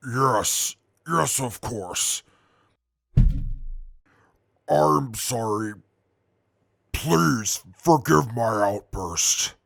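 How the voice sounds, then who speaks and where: A man voicing a huge male supermutant who speaks slowly and formally. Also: mid-range voice, animated, creaky, a bit bright, no echo, clean studio sound, exaggerated voice. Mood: sheepish. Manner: slow, formal, apologetic.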